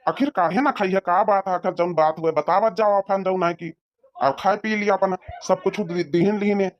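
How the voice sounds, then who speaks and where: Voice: mid-range voice, normal speed, lightly acted. An actor voicing an exasperated village father of the groom in a comedy cartoon, scolding and rambling, on lo-fi audio. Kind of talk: amateur comedy cartoon dialogue.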